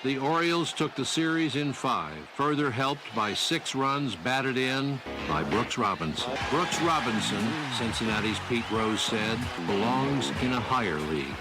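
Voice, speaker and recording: Man, medium pitch, for scripted sports-history narration over a prominent music bed. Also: animated, unhurried, few pauses, low-quality recording. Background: loud music behind the voice.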